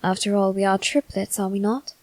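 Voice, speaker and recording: A woman voicing a soft-spoken, politely teasing male demon servant in an ASMR roleplay, with formal manners. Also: mid-range voice, steady tone, a little fast, dry recording, close to an everyday voice. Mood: coy, polite. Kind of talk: ASMR roleplay addressed to the listener.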